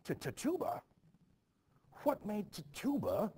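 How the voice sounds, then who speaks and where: A man's voice: sits high, huge pitch swings, slow, mellow, slight background noise, pitched above the performer's own voice, heavily acted. A man reading the part of an anxious, defensive village reverend in a stage play, flustered and pleading, repeating himself. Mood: shocked.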